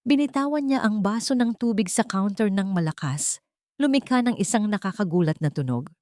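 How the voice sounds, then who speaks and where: A woman's voice: medium pitch, animated, fairly quick, a little mellow, dry recording, clean studio sound. A woman narrator reading a scripted, dramatic romantic story.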